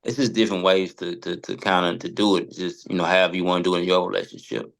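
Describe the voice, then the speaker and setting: American male, deep register, loose hesitant conversational delivery, repeats words as he goes. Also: flat delivery, quick pace, creaky, fairly bright tone. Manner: informal, hesitant, circles back over words. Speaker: podcast guest. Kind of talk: casual discussion.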